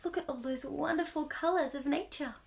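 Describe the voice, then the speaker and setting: A woman hosting a storytime, reading a picture book aloud to young children in an expressive, wonder-filled way. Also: high-pitched, brisk, gravelly. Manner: wide-eyed wonder, exclamations and gasps between passages.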